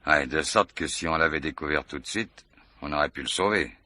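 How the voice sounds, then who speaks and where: An actor playing a probing, authoritative police commissioner in a French radio mystery, questioning briskly and correcting people. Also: male voice, a bass voice, bright, forceful tone, dry recording, heavily acted. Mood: brisk, businesslike. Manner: inquisitive, brisk, corrects others.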